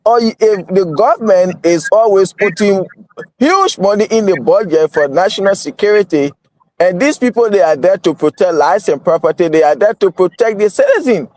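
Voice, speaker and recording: A man's voice: high voice, animated, normal speed, a little mellow, no echo, poor audio. A man hosting a radio talk show, pressing his guest with insistent questions. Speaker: radio host. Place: radio studio.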